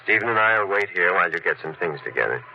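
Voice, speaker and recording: An actor playing a stern, rational doctor in a 1940s radio thriller, speaking with impatient authority. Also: male voice, deep voice, expressive, quick pace. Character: stern, rational male doctor taking charge. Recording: noisy, muffled 1940s radio recording.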